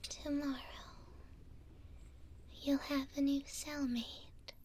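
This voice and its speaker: A woman voicing a kind, gentle imprisoned elf in a soothing ASMR roleplay, whispering breathily and tenderly close to a binaural microphone. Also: high-pitched, level tone, a little hiss or hum. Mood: gentle, reassuring. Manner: slow, soothing, tender, with long pauses.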